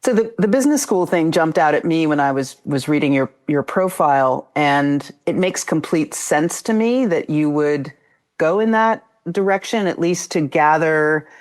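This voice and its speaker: A woman's voice: sits low, animated, normal speed, a little mellow. A woman co-hosting an interview, asking a long musing question with wry asides. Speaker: podcast co-host.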